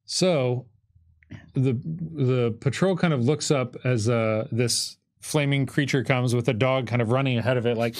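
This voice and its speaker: A man in his thirties acting as game master, narrating a tabletop roleplaying game in a casual, halting way. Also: mid-range voice. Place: indoor streaming studio with curtain backdrop. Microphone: desk microphone.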